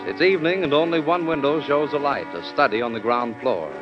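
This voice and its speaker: A man's voice: mid-range voice, brisk. A man announcing an old radio drama in a formal, dramatic scripted style. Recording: muffled old radio recording, loud music.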